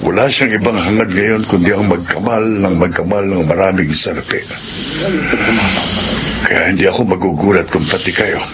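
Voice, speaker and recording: Humble, worried Filipino working man, acted by a man for radio drama: low register, earnest, very noisy recording. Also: fairly even intonation, normal speed, close to an everyday voice. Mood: somber, resentful. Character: humble, indebted older tricycle driver, pleading and worried. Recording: loud background noise.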